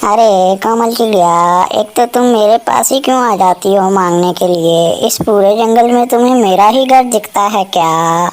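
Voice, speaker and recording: A woman's voice: mid-range voice, normal speed, hardly any pauses, lightly acted. Rude male crow, cartoon, scolds beggars; woman performer. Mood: irritated. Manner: scolding, dismissive.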